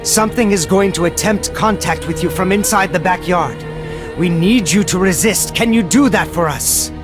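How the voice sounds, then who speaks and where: A man voices an authoritative lead scientist, pitched high for a man and urgent in his delivery. He sounds like a rational commander in an anime game, under very loud music. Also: normal speed, close to an everyday voice. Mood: grave, pleading. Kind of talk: anime game dub acting.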